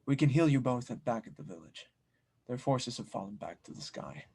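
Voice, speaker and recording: Casting: kindly monk mentor played by a man, calm and encouraging, mid-range voice, sci-fi audio drama. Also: mid-range voice, brisk, creaky. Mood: calm, helpful. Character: wise, kindly blacksmith monk, male mentor. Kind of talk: audio drama table read.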